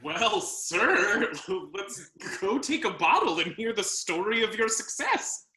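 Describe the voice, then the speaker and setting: An actor playing a smug, good-humoured English gentleman gamester in a Restoration comedy, jovial and self-satisfied. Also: high-pitched, very animated, slow, echoey room, full character voice. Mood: jovial, curious. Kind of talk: Restoration comedy stage acting.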